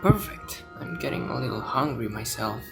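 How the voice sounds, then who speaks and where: A man playing a calm, kind young traveler hero with an easygoing manner in a video game. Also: mid-range voice, moderate pace, gravelly. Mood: friendly. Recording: music behind the voice.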